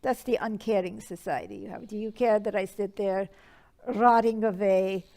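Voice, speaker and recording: A woman's voice: mid-range voice, normal speed, mellow, lightly acted. A woman voicing a flamboyant, dramatic dead old madame who calls people darling and gives advice. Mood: theatrical. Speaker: advice show persona.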